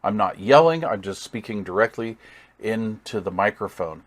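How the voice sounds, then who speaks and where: A man's voice: fairly low pitch, animated, normal speed. A man giving a casual hands-on product demonstration as a hobbyist reviewer, thinking aloud with folksy, easygoing enthusiasm. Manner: thinks aloud while working.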